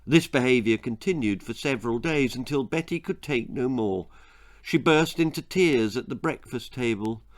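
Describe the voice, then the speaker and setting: A man reading a scripted children's horror-comedy story as its author, in a dramatic, expressive manner. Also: medium pitch, moderate pace. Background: faint music.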